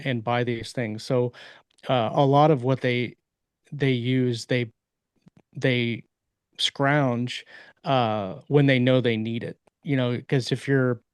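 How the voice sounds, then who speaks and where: A man co-hosting a podcast speaks in a smooth, resonant voice. He pauses often with ums and uhs as he works his way through an explanation. The sound is close and dry, with no room echo. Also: medium pitch. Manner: halting, thinks aloud with many ums and uhs. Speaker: podcast co-host, author. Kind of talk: casual podcast chat.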